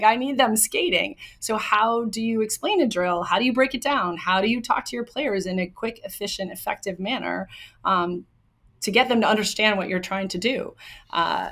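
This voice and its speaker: A young woman hockey coach with a soft voice, answering interview questions in an earnest, explanatory way with many ums and likes. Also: medium pitch, moderate pace, gravelly.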